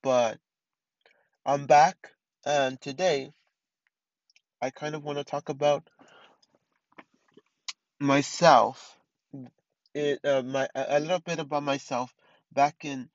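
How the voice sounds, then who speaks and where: A man's voice: fairly high pitch, fairly even intonation, slow pace, pauses often, no room sound. Rambling young man, muffled dry audio. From East Los Angeles. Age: 20s. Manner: rambling, many restarts and fillers. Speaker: podcast host. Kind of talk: casual solo podcast monologue.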